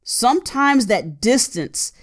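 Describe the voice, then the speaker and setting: A woman's voice: fairly high pitch, sing-song, slow. A woman in her late thirties hosting an advice podcast, talking earnestly and repeating her key points for emphasis. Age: 30s. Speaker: podcast host.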